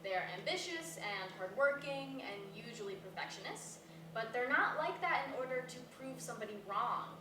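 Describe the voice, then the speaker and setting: A woman's voice: on the high side, expressive, moderate pace, reverberant, natural voice. Far off noisy recording, actress as blunt chatty self-deprecating woman in a play, talks casual. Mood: confident, frank. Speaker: actor in a staged play reading. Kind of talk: naturalistic stage dialogue before a live audience.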